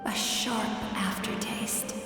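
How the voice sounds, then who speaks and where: A woman playing a gentle young female dream therapist in a video game, soothing and careful as she guides. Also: takes it slowly, lightly acted. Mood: soothing, solemn. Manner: soothing, careful, softly guiding. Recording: soft music behind the voice.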